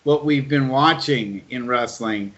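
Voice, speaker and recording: A man's voice: medium pitch, a little slow, a bit bright. A man hosting a casual interview, talking conversationally and asking wordy questions, on muffled lo-fi audio. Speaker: podcast host, interviewer.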